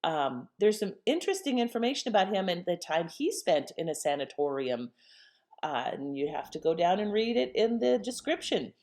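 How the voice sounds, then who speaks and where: A woman's voice: fairly low pitch, very animated, normal speed, a little mellow. A woman hosting with informal, conversational commentary. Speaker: channel host. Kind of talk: casual spoken commentary.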